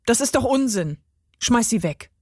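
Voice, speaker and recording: A woman's voice: a little high, expressive, unhurried, no room sound, clean studio sound. A woman voicing a harsh, impatient storybook mother who speaks in a curt, commanding way. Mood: shaken, stern.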